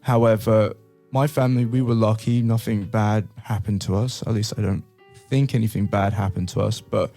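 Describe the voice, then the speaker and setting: Low gravelly man. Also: normal speed, faint music behind. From Brazil. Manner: relaxed, thoughtful storytelling with restarts. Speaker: co-host. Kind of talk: casual chat.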